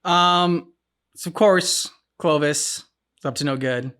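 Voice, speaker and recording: A man's voice: fairly high pitch, takes it slowly, fairly bright tone, smooth. A man hosting a reaction show, chatting casually and thinking aloud as he goes. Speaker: reaction channel host.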